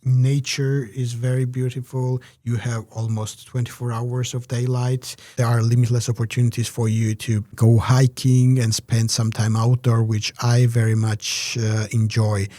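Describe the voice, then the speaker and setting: A man giving measured, reflective interview answers, pausing often with "uh" between phrases. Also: medium pitch, little pitch movement, mellow, no echo, clean studio sound. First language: Georgian. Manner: measured, many "uh" pauses. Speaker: interview guest, researcher.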